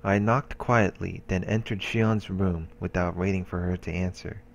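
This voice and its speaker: A man's voice: deep voice, fairly even intonation, moderate pace, gravelly, a little hiss or hum. A man hosting a let's play, reading game narration aloud with occasional casual asides.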